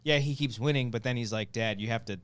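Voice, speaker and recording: Male co-host, very quick talker, informal and sweary, dry close recording. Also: medium pitch, fairly bright tone, smooth, no room sound. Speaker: show co-host. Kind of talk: casual panel chat with friends.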